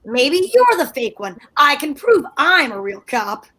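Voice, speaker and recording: A woman's voice: sits high, sing-song, unhurried, a bit bright, full character voice. A woman playing a bossy, scheming madcap wife in old-time radio comedy style, exclamatory and wheedling. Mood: bluffing.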